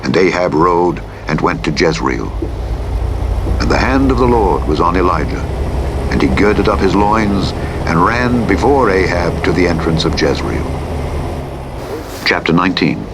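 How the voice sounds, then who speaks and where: Deep-voiced man solemnly reading scripture over engine noise. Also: big pitch swings. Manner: measured, solemn, declamatory. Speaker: audiobook narrator. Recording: clear vehicle noise over a radio playback. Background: truck engine and driving noise from a simulator game.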